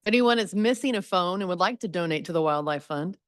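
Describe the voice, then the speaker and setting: A woman chairing a public commission meeting, moving things along in a light, joking, brisk way. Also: lowish voice, lively intonation.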